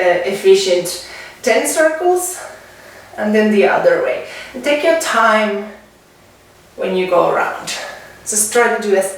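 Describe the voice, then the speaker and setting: A woman from Finland with a Finnish accent, leading an exercise demonstration as a dance and fitness instructor, speaking haltingly in short phrases with pauses. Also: medium pitch. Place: indoors at home, away from the mic. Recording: echoey room, distant mic, faint noise.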